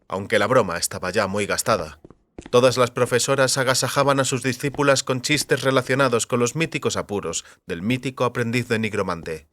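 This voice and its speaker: A man reading scripted literary narration for an audiobook with measured, deliberate phrasing. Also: medium pitch, a bit bright. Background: faint music.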